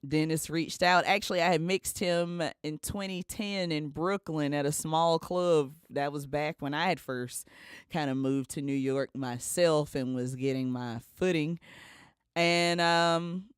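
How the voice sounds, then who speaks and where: A man's voice: a little high, steady tone, a little slow, smooth, no room sound, clean studio sound. A man chatting casually and telling stories as a podcast co-host, often chuckling as he talks. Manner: often chuckles while talking. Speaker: podcast co-host, audio engineer. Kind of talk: casual chat, storytelling.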